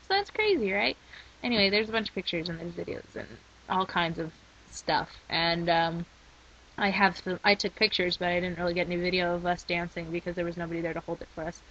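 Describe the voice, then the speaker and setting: A young woman rambling in a casual video diary, her voice worn from a week of shouting. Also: medium pitch, a little hiss or hum. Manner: chatty and rambling. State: losing her voice. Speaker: vlogger. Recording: slightly dull lo-fi recording.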